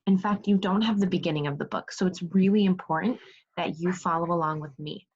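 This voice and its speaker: A woman's voice: lowish voice, brisk, a little mellow, slight background noise. A woman teaching second grade in an interactive remote read-aloud, giving instructions, asking questions and praising pupils. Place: remote video class. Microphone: video call. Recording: dull lo-fi video call audio.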